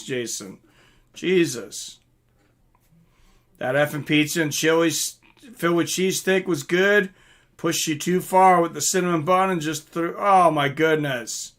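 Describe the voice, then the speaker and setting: A man's voice: a little high, a bit bright. A man hosting a live stream in a casual, rambling, mildly profane way, chatting and answering viewer comments.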